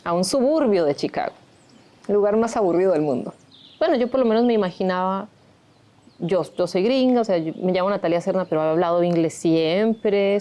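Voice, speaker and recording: A woman in her thirties who grew up in Colombia speaks in a lowish, mellow voice. She tells her own story for a documentary in a candid, reflective way. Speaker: interviewee, migrant shelter founder. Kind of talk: documentary interview.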